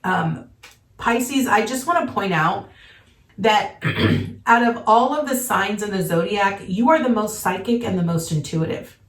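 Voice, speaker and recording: Tarot lady, kinda hoarse from congestion, earnest, keeps saying okay, echoey room. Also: mid-range voice, lively intonation, unhurried, distant mic. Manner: earnest, reassuring, frequent "okay?" check-ins. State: voice worn from congestion. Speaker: tarot reader. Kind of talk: casual tarot reading. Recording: echoey room, voice a little off-mic.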